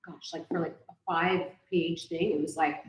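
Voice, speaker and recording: A woman's voice: low voice, steady tone, moderate pace, slight background noise. A woman speaking casually as a participant giving feedback at a live event. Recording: echoey room.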